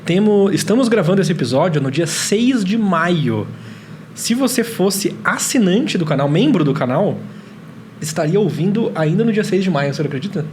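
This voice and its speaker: A man's voice: high-pitched, lively intonation, moderate pace, darkish tone, slight background noise. A Brazilian man hosting a casual podcast interview with playfully formal, over-polite phrasing. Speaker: podcast host.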